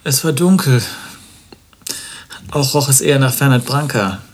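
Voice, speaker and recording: An actor playing a fussy, verbose eccentric in a satirical radio drama, speaking in an affected, precise way. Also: male voice, mid-range voice, measured pace, lightly acted. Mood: fussy, rueful.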